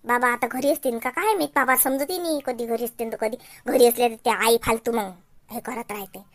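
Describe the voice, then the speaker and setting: Woman doing a nagging cartoon village wife, really high voice, taunting and complaining, vidarbha marathi accent. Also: normal speed, natural voice. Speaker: comic cartoon character. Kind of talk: comedy cartoon dialogue.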